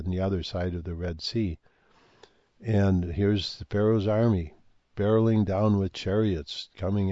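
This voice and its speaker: An older man talking in a rambling monologue, halting with many fillers and restarts, and losing his voice. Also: low voice, mellow, dry recording, muffled recording. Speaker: speaker on ancient history and comets.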